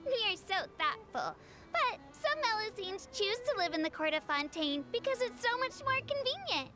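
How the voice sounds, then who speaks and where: Casting: little aquatic creature guide, very high childlike pitch, gentle, earnest, polite, music behind. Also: female voice, sing-song, moderate pace, heavily acted. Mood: sweet, informative. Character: small sweet Melusine creature, helpful water-bus tour guide. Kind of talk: video game voice acting. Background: game music.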